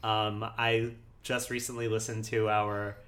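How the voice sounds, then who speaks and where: A man's voice: on the low side, flat delivery, unhurried, fairly bright tone. A man in his forties co-hosting a podcast, chatty and teasing, often breaking into laughter. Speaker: podcast co-host. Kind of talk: casual chat.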